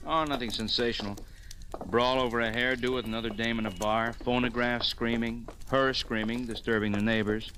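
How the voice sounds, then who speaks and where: A brisk, slangy police sergeant acted by a man in a vintage radio crime play. His voice sits at a medium pitch and his reports are clipped and wry, with light noise on the old recording. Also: fairly even intonation, fairly bright tone, lightly acted. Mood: wry reporting. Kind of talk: old-time radio crime drama acting.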